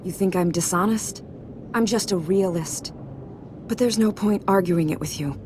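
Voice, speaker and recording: A woman's voice: mid-range voice, normal speed, natural voice. A woman playing a proud, self-righteous senator in a video game, speaking earnestly and formally. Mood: resigned. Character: proud, self-righteous female senator defending her crimes. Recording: clearly noisy background ambience.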